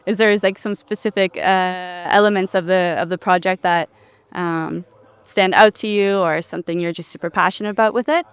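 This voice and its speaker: Upbeat lady interviewer chatting away, super clear voice, dry sound. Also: lowish voice, steady tone, normal speed, no echo. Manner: upbeat and chatty, filler-heavy, laughs. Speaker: interviewer, event host. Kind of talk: casual live interview. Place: outdoors on a bench at a city event.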